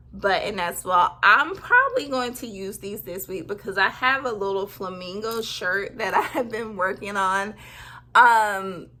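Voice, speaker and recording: Woman in her thirties, casual sewing chat, lively swooping pitch, bright voice, giggly. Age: 30s. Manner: giggly, bubbly, lots of "like". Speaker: sewing vlogger.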